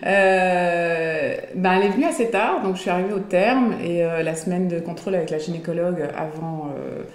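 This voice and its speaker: A woman's voice: lowish voice, normal speed, echoey room. A woman in her forties chatting in an interview, hesitating often as she talks. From Belgium. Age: 40s. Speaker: guest. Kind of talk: casual interview chat.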